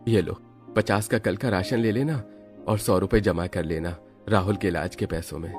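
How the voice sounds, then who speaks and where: A man's voice: deep voice, fairly even intonation, moderate pace, a little mellow. A man voicing a humble, tired, kindly day-labourer father in a cartoon moral story, speaking plainly and earnestly. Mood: weary. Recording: background music under the voice.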